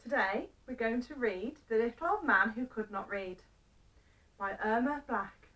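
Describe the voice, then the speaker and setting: High-voiced woman reading a children's story. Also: animated, unhurried, a bit bright, distant mic, a little hiss or hum, poor audio. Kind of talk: read-aloud children's story narration.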